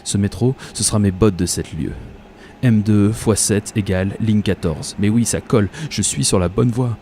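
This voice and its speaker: A deep-voiced actor as a dreamy commuter tells his own radio story. His mellow voice is wry and confiding, full of colloquial turns. A faint layer of ambient noise sits behind him. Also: normal speed, natural voice. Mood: intent, confiding. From Paris, France. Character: dreamy, obsessive commuter, wry and self-mocking. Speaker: narrator and protagonist. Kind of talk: first-person radio fiction monologue.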